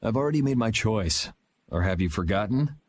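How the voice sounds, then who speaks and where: An actor playing a calm, self-assured young swordsman in a video game, speaking bluntly. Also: lowish voice, brisk, dry recording. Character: young male swordsman, cool and resolute.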